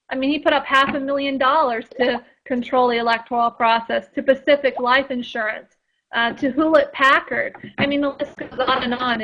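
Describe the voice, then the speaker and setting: A woman's voice: a little high, pushed and bright. A woman guest in a casual radio interview, chatty and full of fillers and restarts. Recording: dull lo-fi sound.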